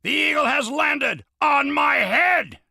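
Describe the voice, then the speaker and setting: Gravel-throated American eagle soldier. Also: male voice, very high-pitched, animated, slow pace, sharp tone, no echo, raised voice, clean studio sound, heavily acted. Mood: bombastic. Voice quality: very gravelly, harsh. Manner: barking drill-sergeant bluster. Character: blustering patriotic eagle-headed American soldier. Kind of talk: exaggerated video game character acting.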